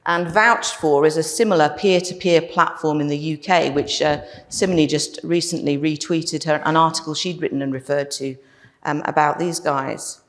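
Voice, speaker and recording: A woman giving a prepared conference talk, measured and slowing down to land her closing points. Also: low voice, steady tone. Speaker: conference speaker.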